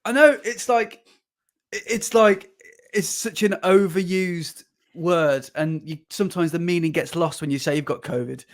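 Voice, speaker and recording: A man with a fairly high voice co-hosts a podcast. He sounds hoarse and a little deeper than normal while he is ill, and his chat stays jokey and animated. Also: normal speed. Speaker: podcast co-host. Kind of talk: casual chat.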